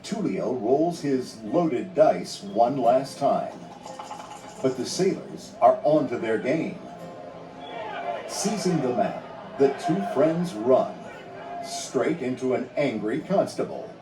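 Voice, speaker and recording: A man's voice: medium pitch, lively intonation, takes it slowly. A man reading a children's read-along story in a dramatic, scripted storytelling manner. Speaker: narrator. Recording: roomy, distant sound under music.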